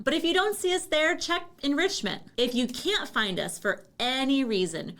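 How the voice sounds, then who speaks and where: A woman with a somewhat high-pitched voice narrates a scripted explainer. She addresses the listener directly, with the clear, instructional delivery of a company presenter. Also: fairly bright tone.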